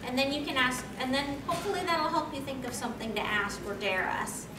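A woman's voice: high-pitched, lively intonation, fairly quick. A young woman hosting a panel, explaining things and answering questions in an articulate, slightly formal way. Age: young adult. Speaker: panel host. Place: convention meeting room, on a stage. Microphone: distant camera microphone. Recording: distant, echoey, noisy camera recording.

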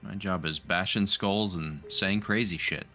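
A man's voice: low voice, unhurried, sharp tone, faint music behind. A man chatting casually as a player in a tabletop roleplaying game. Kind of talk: casual chat.

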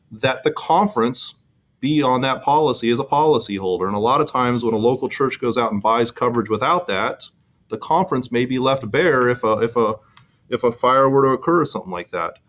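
A middle-aged man walks through insurance points in a webinar at a mid pitch. He explains earnestly and often restarts his sentences with "um". It is a steady, plain presentation voice. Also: brisk.

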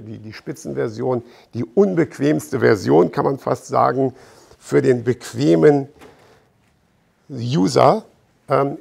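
A middle-aged man explaining fitness equipment to camera in an informal, deliberate way, as an expert presenter. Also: medium pitch, gravelly, darkish tone, slightly rough. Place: bright gym showroom indoors with large windows.

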